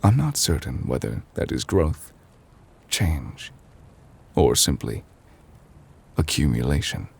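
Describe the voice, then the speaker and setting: A man playing an ancient, weary chief judge in an intimate ASMR roleplay, formal and gentle, speaking in measured, hesitant phrases. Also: very animated, exaggerated voice. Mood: sombre, weary. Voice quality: husky, breathy low voice. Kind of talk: intimate ASMR audio roleplay, slow dramatic monologue.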